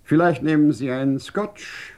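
An actor playing an urbane, teasing scientific director in a radio play, whimsical and courteous, with elaborately worded, theatrical speech. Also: male voice, fairly high pitch, a little slow, darkish tone, close to an everyday voice. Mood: courtly, bantering. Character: urbane, teasing male scientific director of a desert research station. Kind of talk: German radio play acting.

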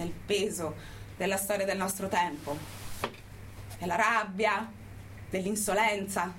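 A woman's voice: a little high, a bit bright, slight background noise. An actress playing a proud, angry imprisoned activist in her thirties, declaiming a political theatre monologue with rhetorical emphasis. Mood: bitter, resolute. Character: defiant jailed activist woman of thirty-three, proud and angry.

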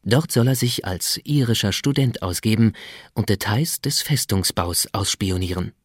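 A man reading scripted radio drama narration in a measured, factual manner as the announcer. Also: lowish voice, expressive, slight background noise.

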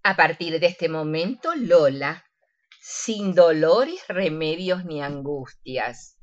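A woman's voice: low-pitched, expressive, slow pace, muffled recording. An actress playing a flustered, giddy older woman with an Argentine accent in a comic radio play. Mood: bold. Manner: hesitant, flustered, polite. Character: giddy, daring older woman, nervous and tipsy.

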